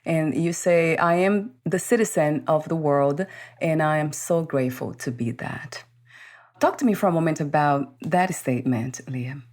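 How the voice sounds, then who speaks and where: A woman interviewer asking questions in a calm, measured way. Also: sits low. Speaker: interview host.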